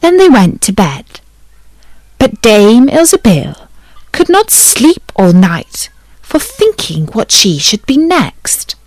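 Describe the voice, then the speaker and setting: Slow, measured woman storyteller. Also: fairly high pitch, huge pitch swings, darkish tone, no echo, low-quality recording. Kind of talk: storybook reading.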